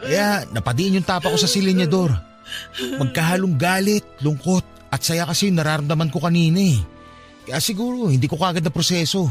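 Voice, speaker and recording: High-voiced, wounded young man, acted by a man. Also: animated, fairly quick, faint music behind, close to an everyday voice. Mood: agitated pleading. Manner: emotional, confessional, pleading. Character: wounded, earnest young man pleading with his love. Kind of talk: radio romance drama acting.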